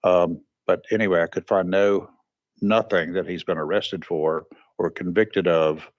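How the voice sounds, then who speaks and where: A man's voice: low-pitched, fairly even intonation, unhurried, no echo, lo-fi sound. A man hosting a local radio talk show. He rambles folksily with frequent restarts and sounds congested. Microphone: studio microphone.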